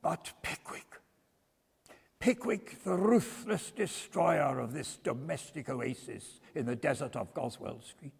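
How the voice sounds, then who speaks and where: Man performing a pompous, booming-hall Victorian barrister. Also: animated, slow pace, creaky, slightly rough, heavily acted. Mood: grand indignation. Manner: theatrical, emphatic, grandiose oratory. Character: pompous male prosecuting barrister orating at a jury. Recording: reverberant church hall.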